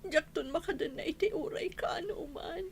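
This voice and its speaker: A woman's voice: sits high, normal speed, noisy background. A woman playing a devoted, grieving wife in a radio drama, with a tearful, emotional delivery. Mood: subdued grief.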